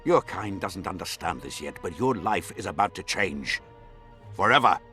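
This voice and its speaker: A snooty fantasy game baron, lowish sharp voice, bossy and full of disdain, bit of music under it. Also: male voice, lively intonation, normal speed. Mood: stern, haughty. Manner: imperious, disdainful, formal diction. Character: haughty scornful nobleman, baron vying for a throne. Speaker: video game character. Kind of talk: dramatic fantasy game acting.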